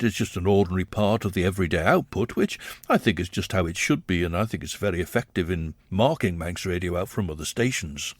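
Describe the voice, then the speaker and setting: A man narrating a scripted radio documentary, measured and explanatory. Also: low voice, no echo.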